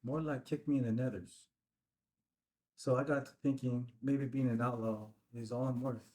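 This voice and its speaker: An actor playing a rueful ex-convict biker in a script table read, colloquial and plain-spoken. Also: male voice, mid-range voice, fairly even intonation, normal speed, a little mellow, close to an everyday voice, poor audio. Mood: reflective, wry. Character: rueful male ex-convict biker recalling an old friend. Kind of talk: filmed screenplay table read.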